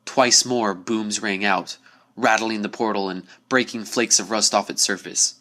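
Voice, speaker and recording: A man's voice: on the low side, steady tone, unhurried, sharp tone. A man giving a dramatic scripted narration of a spooky story. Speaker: story reader.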